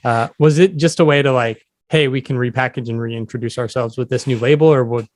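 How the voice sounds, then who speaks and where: A man interviews casually as a podcast host. His voice is mid-pitched and recorded very dry, with no room around it. He is laid-back and keeps his responses short. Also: a little fast, dry recording.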